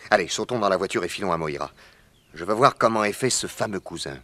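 A calm reasoning detective. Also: male voice, low voice, fast talker, a little hiss or hum. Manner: calm, explanatory. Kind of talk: 1950s French radio drama acting.

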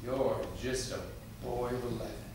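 A man's voice: medium pitch, little pitch movement, natural voice. Man playing a solemn, vengeful Jesus, heavily echoing. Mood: solemn, condemning. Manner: measured, rhyming pronouncements. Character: Jesus, solemn vengeful divine spirit in rhyme. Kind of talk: theatrical dramatic monologue. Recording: very echoey room, distant mic, faint noise.

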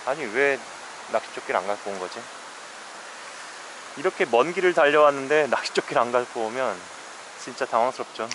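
A man's voice: mid-range voice, fairly quick, long pauses. A man fly fishing alone on a mountain stream, talking to himself in a casual, wry, weary way as he films with a head-mounted camera. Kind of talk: casual first-person fishing narration. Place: outdoors by a shallow mountain stream. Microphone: head-mounted action camera. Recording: outdoor stream noise behind.

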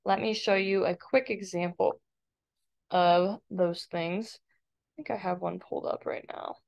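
A woman with a creaky voice, an art teacher casually explaining software step by step in a tutorial voice-over. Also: fairly low pitch, fairly even intonation, a little slow. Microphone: screen-recording voice-over. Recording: dull, lo-fi voice-over.

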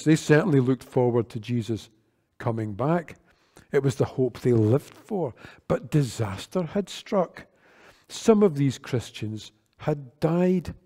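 A man, preaching an earnest, measured sermon at a church lectern microphone. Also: mid-range voice, dark tone. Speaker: worship leader. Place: church sanctuary. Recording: long church reverb.

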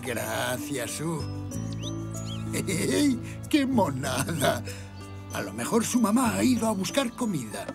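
A man's voice: fairly high pitch, wildly swooping pitch, slow pace, creaky, over music, exaggerated voice. A man playing a kindly, playful older zebra grandfather in a children's cartoon, with a Castilian Spanish accent and an encouraging manner.

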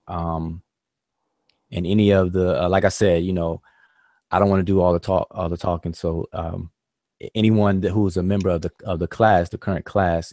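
Deep-voiced man teaching an online class. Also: no room sound, studio quality. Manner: relaxed, many fillers like "all right".

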